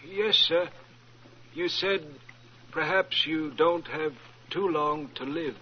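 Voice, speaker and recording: An actor playing a reserved, deferential male caretaker, speaking politely and with measured care in 1940s radio style. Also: on the high side. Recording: muffled, noisy vintage radio recording.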